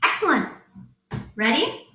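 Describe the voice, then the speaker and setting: A woman's voice: high voice, sing-song, measured pace, sharp tone, echoey room. A woman leading an interactive children's story time as a librarian, enthusiastic and encouraging as she talks to young children.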